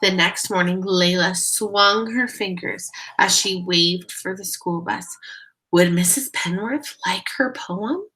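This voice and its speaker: A woman's voice: fairly low pitch, slow, low-quality recording. A woman reading a picture book aloud expressively, talking directly to young listeners. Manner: expressive, addressing children directly. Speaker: read-aloud storyteller.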